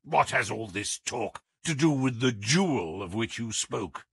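A man's voice: medium pitch, animated, measured pace, creaky, a bit bright, slightly rough, full character voice. A man voicing a menacing, proud criminal count in an audiobook, curt, indignant and irritable. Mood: dismissive. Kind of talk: audiobook character acting.

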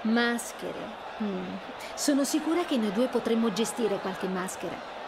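A woman playing a hopeful, hardworking, kind young restaurateur in an animated game dub. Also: on the high side, measured pace, noisy background. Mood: thoughtful. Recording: crowd and street ambience behind.

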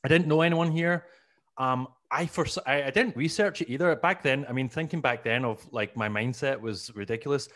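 Scottish comic, 30s, Glasgow accent, loose rambling storyteller, close studio mic. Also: male voice, medium pitch, a little fast, a bit bright. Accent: Glaswegian Scottish. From Scotland. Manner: rambling, self-mocking, acts out his past self. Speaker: guest comedian. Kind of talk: casual storytelling interview. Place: small room at home, video call. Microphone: studio mic on boom arm.

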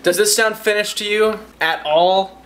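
A young man from Alaska, vlogging casually to a handheld camera in his living room, excitable and rambling. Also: high voice, slight background noise. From Alaska, United States. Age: young adult. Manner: excitable, rambling, quick asides. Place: living room of a house, indoors. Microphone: handheld camera at arm's length.

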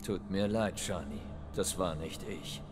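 An actor playing a grizzled middle-aged monster hunter who talks tersely and bluntly in a video game dub. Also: male voice, low-pitched, a little slow. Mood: exasperated. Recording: reverberant with music underneath.

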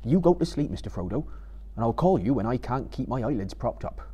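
A man acts out a loyal hobbit servant from a storybook. He is blunt and suspicious, with rustic phrasing and a husky edge to his voice. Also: medium pitch, animated, a little fast, dark tone. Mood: distrustful.

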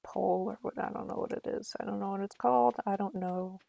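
A woman's voice: mid-range voice, fairly even intonation, quick pace, gravelly, warm tone, no room sound, highs cut off. A woman chatting casually on a live game stream, thinking aloud in a self-deprecating way.

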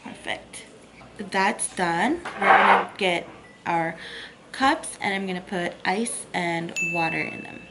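A woman in her thirties chatting casually through a home cooking and hacks vlog, upbeat and relaxed. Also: fairly low pitch, slow, very noisy. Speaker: lifestyle vlogger. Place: home bedroom and kitchen.

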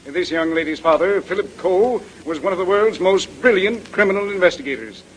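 An actor playing a grave, formal doctor in a 1930s radio mystery, speaking deliberately. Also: male voice, high voice, expressive. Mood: courtly admiration. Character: authoritative male doctor, mature, grave and deliberate. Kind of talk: 1930s radio drama acting. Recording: noisy vintage 1930s radio recording.

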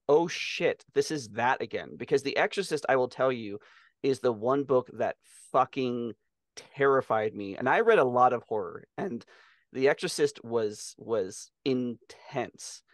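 A man's voice: mid-range voice, a little slow, dry recording, clean studio sound. Male podcast co-host, middle-aged, mid-range voice, loose conversational delivery, very little room sound. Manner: casual, freely swearing. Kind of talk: casual chat about books. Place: small room on a video call.

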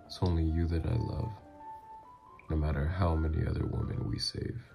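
Man playing a very deep-voiced, doting mafia lover. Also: level tone, moderate pace, creaky. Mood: earnest, reassuring. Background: music.

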